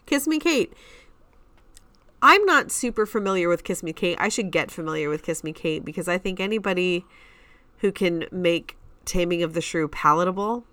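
A woman's voice: fairly low pitch, animated, normal speed, sharp tone, dry recording. A woman co-hosting a podcast, giving thoughtful commentary in casual conversation. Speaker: podcast co-host.

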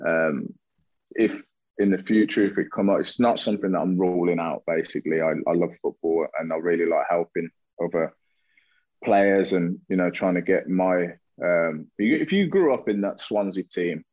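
A man's voice: sits low, normal speed, a little mellow, no echo. A man in his thirties giving frank, easygoing interview answers and laughing easily. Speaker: retired footballer guest. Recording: dry, dull sound.

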